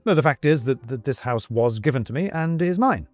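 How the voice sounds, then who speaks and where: A man's voice: mid-range voice, lively intonation, a little fast, dry recording, faint music behind, natural voice. Man as a flustered, indignant, stiffly proper fellow. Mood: defensive, flustered. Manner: hesitant bluster, clipped protests.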